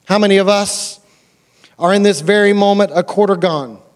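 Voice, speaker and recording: A man's voice: sits high, normal speed. An American man preaching a sermon as a pastor, conversational and colloquial, repeating phrases for emphasis and putting questions to his listeners.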